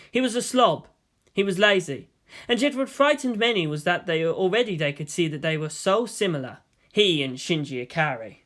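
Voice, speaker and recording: Casting: male fiction narrator, higher-pitched voice, scripted storytelling. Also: fairly bright tone. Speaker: audiobook narrator of fan fiction.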